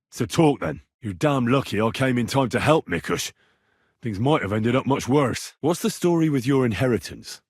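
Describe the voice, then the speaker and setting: A young medieval adventurer from a video game, speaks in a husky, mid-range voice. His intonation swings widely while he stays blunt, dogged and probing. The recording is dry and close, with no room sound. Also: mid-range voice, dry recording. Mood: firm, threatening, then inquisitive, measured. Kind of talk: video game dialogue lines.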